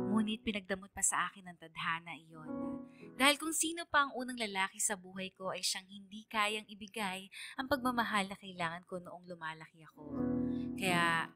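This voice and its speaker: A woman with a Filipino accent, a radio DJ narrating a scripted first-person story. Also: mid-range voice. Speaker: radio DJ, narrator of listener stories. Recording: loud guitar music behind. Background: acoustic guitar music.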